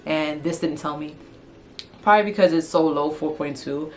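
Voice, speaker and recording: A woman's voice: low voice, moderate pace, a little mellow, a little hiss or hum. A woman from Antigua and Montserrat co-hosting a casual conversation, earnest and repeating her point. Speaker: co-host. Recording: faint music underneath.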